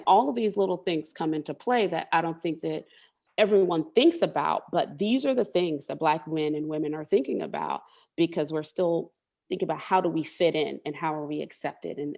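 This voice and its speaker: Low-voiced woman explaining earnestly. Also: vocal fry, darkish tone. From Southern United States. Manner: earnest, explanatory, repeats words while thinking. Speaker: interview guest, tech leader and coach. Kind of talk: candid interview conversation.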